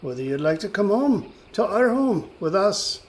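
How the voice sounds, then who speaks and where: A man's voice: high voice, moderate pace. A man voicing a fussy, chatty little leprechaun with an Irish accent in a radio play. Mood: cheery. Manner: grumbling, animated. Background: birdsong, woodland ambience.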